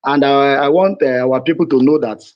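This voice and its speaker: A man calling into a live audio chat room, speaking respectfully and unevenly, rushing at times and then slowing down. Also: medium pitch. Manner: respectful greetings, uneven rushed and slow pace. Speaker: caller. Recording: lo-fi online audio stream.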